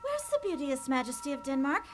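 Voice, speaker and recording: Mad sad young girl, really high singsong puppet show voice, music behind. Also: sing-song, fairly quick. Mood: fey sorrowful. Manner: erratic, singsong. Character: mad, grieving young maiden, fey and erratic. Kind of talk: theatrical puppet show acting with singsong verse.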